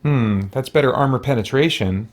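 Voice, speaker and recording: A man's voice: mid-range voice, normal speed. Man thinking aloud during gameplay. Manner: thinking aloud, muttering to himself. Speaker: let's play host. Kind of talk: casual gameplay commentary.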